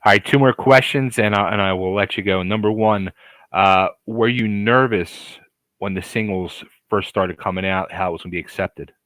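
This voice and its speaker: A man hosting a casual interview, enthusiastic and halting as he shapes his questions. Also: lowish voice, a bit bright, no echo, poor audio. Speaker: podcast host, interviewer.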